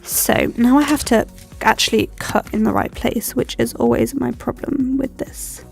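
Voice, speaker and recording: A woman's voice: a little high, sing-song, a little slow, gravelly, darkish tone, faint music behind. A young woman with a stuffy cold, chatting and rambling with lots of ums as she decorates her planner. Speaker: planner vlogger. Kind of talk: chatty plan-with-me narration.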